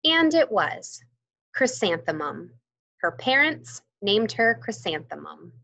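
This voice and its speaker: A young woman, a teacher, reads a children's storybook aloud expressively, with careful, deliberate emphasis. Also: medium pitch, sing-song, vocal fry, sharp tone, rough recording. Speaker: kindergarten teacher reading aloud. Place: indoors, on a screen-share video.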